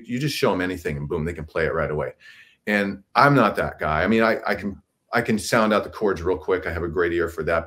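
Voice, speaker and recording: A middle-aged man with a deep bass voice, a singer and musician explaining things casually as an interview guest on a video call. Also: a bit bright. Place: indoor room, video call.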